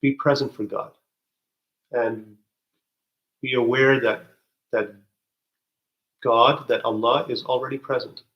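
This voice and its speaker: Man, meditation guide, very slow, gentle, soft restarts, middle pitch. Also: fairly even intonation, highs cut off.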